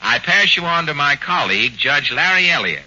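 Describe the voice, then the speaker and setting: A man hosting a 1940s radio comedy, dry and mock-stern with quick quips. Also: on the high side, sing-song, bright, forceful tone. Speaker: comedy show host. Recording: dull old radio broadcast, faint hiss.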